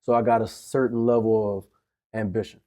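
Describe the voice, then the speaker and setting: A man's voice: lowish voice, little pitch movement, brisk, warm tone. A man from Cuba with a New Orleans accent, a guest speaking confidently in a casual interview and dropping in Spanish phrases. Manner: confident, matter-of-fact, drops Spanish phrases.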